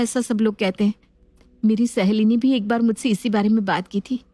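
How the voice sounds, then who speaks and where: A woman playing a gentle, childless elder sister-in-law who speaks softly and hesitantly. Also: fairly high pitch, no echo, natural voice. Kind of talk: Hindi family drama acting.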